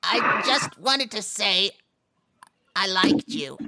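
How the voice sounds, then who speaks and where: A woman's voice: medium pitch, slow, bright, forceful tone. A woman voicing an earnest, theatrical cartoon character in a live comic dub. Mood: wistful, earnest.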